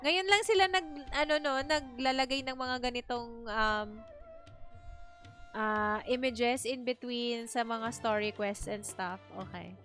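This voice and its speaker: A woman's voice: on the high side, fairly even intonation, unhurried, music in the background. A young woman, casually reacting while streaming a story game. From Philippines. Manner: reacts with short remarks and laughs, switches to Tagalog. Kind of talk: casual gaming commentary.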